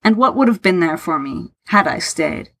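A woman's voice: lowish voice, animated, normal speed, creaky, pushed and bright, full character voice. Woman voicing a guarded, sardonic man. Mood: restrained anger. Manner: matter-of-fact, curt, sardonic, formal. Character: Tharke, guarded, bitter, self-deprecating man hiding love.

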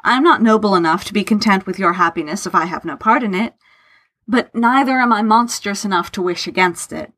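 A woman's voice: mid-range voice, a little fast, fairly bright tone, close to an everyday voice. A woman voicing a guarded, bitter man who speaks in a curt, matter-of-fact, formal and sardonic way, as in an audio story. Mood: restrained anger. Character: Tharke, guarded, bitter, self-deprecating man hiding love.

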